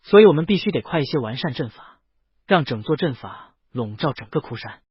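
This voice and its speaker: A man voicing a composed, respectful female immortal cultivator, explaining in a calm, measured way. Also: a little high, slightly rough, no room sound, lo-fi sound, heavily acted.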